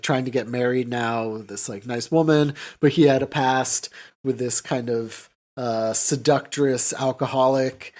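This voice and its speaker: A man's voice: mid-range voice, darkish tone. A man co-hosting a podcast, recapping a film in loose, informal, sweary chat and offhandedly paraphrasing what characters say. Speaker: podcast co-host. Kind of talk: casual chat recapping and mocking an old film.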